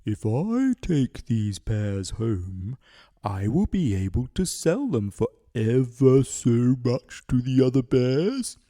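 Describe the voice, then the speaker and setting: A man's voice: mid-range voice, sing-song, takes it slowly, mellow. A man voicing a greedy, hungry bear who schemes and mutters to himself in a children's storybook. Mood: greedy, scheming.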